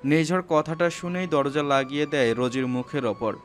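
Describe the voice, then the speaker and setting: A man's voice: a little high, moderate pace. A man narrating a scripted romantic story, with music playing underneath.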